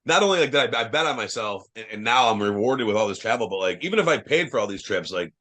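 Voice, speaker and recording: Loud, profane young man from Ohio. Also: fairly low pitch, lively intonation, fast. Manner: loose, profane storytelling, lots of fillers; says he has a loud voice. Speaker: guest, professional wrestler. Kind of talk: casual podcast chat.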